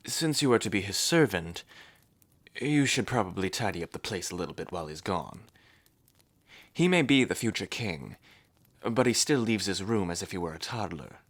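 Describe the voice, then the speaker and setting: A man's voice: deep voice, very animated, moderate pace, a bit bright, full character voice. A man voicing a polite, formal male royal steward who is courteous and slightly dry.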